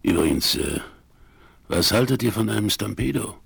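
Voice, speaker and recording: An actor playing a gruff, hot-tempered old Wild West frontiersman in a 1970s German radio play, with colourful, folksy cursing. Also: lowish voice, animated, normal speed, a bit bright, heavily acted. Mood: sly, hushed. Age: older. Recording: vinyl transfer with background noise.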